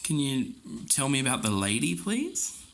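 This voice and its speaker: A man's voice: mid-range voice, huge pitch swings, normal speed, vocal fry, noisy background. A man playing a curious, persistent podcast interviewer with a casual, conversational way of asking questions. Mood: insistent. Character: eager, curious male podcast interviewer pressing for details.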